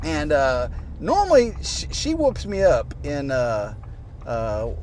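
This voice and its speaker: A man's voice: on the high side, wildly swooping pitch, slow. A man with a mild American Southern accent, chatting casually in a folksy, joking, rambling way with repeated words and fillers. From southeast Missouri, United States. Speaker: vlog host. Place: inside a moving vehicle. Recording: loud car and road noise.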